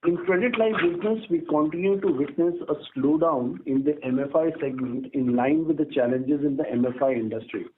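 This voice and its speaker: A man with an Indian accent giving a formal, scripted business update as a company executive. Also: fairly high pitch. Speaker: company executive on an earnings call. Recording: narrow phone line with background noise.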